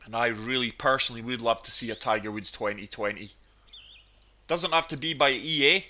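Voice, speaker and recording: A man's voice: medium pitch, fairly quick, bright, forceful tone. A man with a cold, chatting enthusiastically through golf gameplay commentary. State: has a cold, sniffly. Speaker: gaming channel host.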